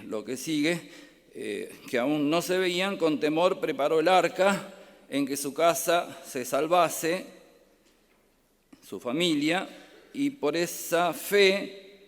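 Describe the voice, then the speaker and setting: Argentine pastor from Córdoba, hesitant, reverberant hall. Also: male voice, fairly high pitch. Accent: Argentine Spanish, Córdoba. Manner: gentle, warm-hearted, many filler "eh" pauses. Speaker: pastor and Bible professor. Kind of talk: sermon. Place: large church hall.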